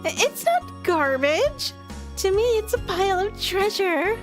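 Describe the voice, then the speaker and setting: Woman putting on a very high, cutesy anime girl. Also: big pitch swings, a little slow, soft background music, exaggerated voice. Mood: excited. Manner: squealing, gushing, pleading. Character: sweet, excitable, cutesy anime teenage girl. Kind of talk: visual novel character read-aloud.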